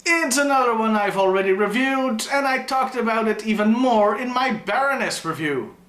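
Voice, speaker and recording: A man's voice: very high voice, lively intonation, moderate pace, a bit bright. A man narrating a scripted countdown review as the host.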